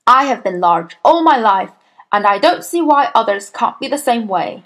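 A woman's voice: fairly high pitch, expressive, moderate pace, a bit bright. A woman voicing a huge, bullying headmistress in a children's storybook, barking and snapping with imperious contempt for children. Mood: outraged.

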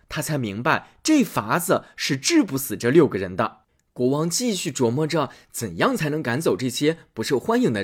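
A man reading a fairy tale aloud as a storyteller, in a lively, expressive way. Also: a little high, breathy edge.

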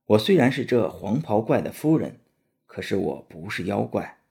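A man voicing a gentle, pleading princess in a storybook, speaking quietly. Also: lowish voice, very animated, moderate pace, gravelly, slightly rough, exaggerated voice. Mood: soft pleading.

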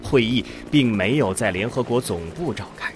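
A man narrating an audio drama from a script in a deliberate, dramatic storytelling manner. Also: medium pitch, animated, a little hiss or hum. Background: music.

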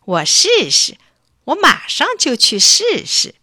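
A woman's voice: high-pitched, wildly swooping pitch, slow pace, pushed and bright, no echo, studio quality, pitched above the performer's own voice, full character voice. A woman voicing a hot-tempered, sulky little boy in a children's story, in an emphatic childlike manner. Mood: eager.

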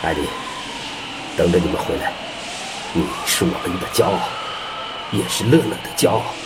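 A man's voice: sits low, normal speed, heavy background noise, close to an everyday voice. A man playing a commanding but caring space mission leader in an audio drama, urgent and earnest. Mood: earnest, solemn.

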